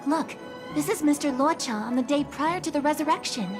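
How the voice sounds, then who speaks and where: A woman's voice: high-pitched, a little fast, over music, close to an everyday voice. A woman voicing a composed young officer in a video game, formal, earnest and polite. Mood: businesslike. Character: dutiful, composed young female city officer. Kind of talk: video game English dub acting.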